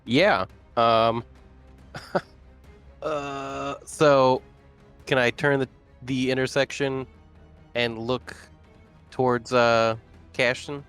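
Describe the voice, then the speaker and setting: A man playing a tabletop roleplaying game, talking casually and haltingly through his moves. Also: medium pitch, steady tone, a bit bright, clean tone, soft background music.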